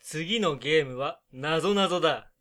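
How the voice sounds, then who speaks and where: A man's voice: fairly high pitch, moderate pace, fairly bright tone, lightly acted. A man playing a stern, curt quizmaster running a captive riddle game in a comedic radio drama. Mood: commanding. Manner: commanding, announcing, curt.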